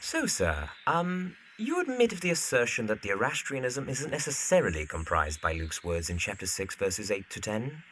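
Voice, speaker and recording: A man playing a polite, diffident young gentleman in a period audio drama, speaking hesitantly and courteously. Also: mid-range voice, sing-song, normal speed, hardly any pauses, a little hiss or hum.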